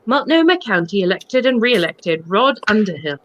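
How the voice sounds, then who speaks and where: A woman's voice: mid-range voice, sing-song, normal speed, fairly bright tone, muffled recording. A woman reading on a charity livestream, moving between dramatic book reading and casual asides in a wry, mocking manner.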